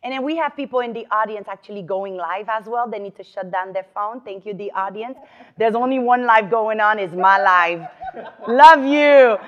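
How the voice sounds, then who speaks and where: A woman's voice: medium pitch, moderate pace, dull audio. A woman hosting a talk show, bubbly and chatty, laughing often as she talks to her guest.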